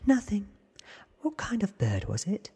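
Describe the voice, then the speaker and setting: An ancient female vampire, played by a male reader, speaks in a very breathy hush. She is weary, blunt and knowing, with slow lines made for a whispered ASMR story. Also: sits high, wildly swooping pitch, voice pushed up, heavily acted.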